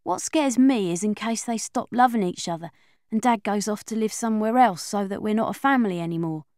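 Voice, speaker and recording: A woman's voice: medium pitch, fairly quick, no room sound, clean studio sound, close to an everyday voice. A woman narrating an audiobook in the voice of a chatty young girl telling her own story. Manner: lively, expressive, confiding. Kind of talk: first-person audiobook reading.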